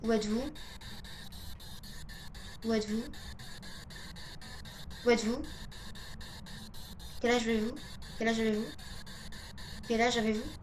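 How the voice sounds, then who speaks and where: A woman's voice: fairly high pitch, fairly even intonation, unhurried, long pauses, poor audio. A woman giving casual video game commentary, thinking aloud to herself and often repeating her phrases. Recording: clear background noise, game ambience.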